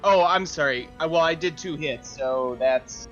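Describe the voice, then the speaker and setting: A man's voice: a little high, measured pace, a bit bright. A man chatting casually as a player in a tabletop roleplaying game. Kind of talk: casual chat. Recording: dull lo-fi sound, music behind.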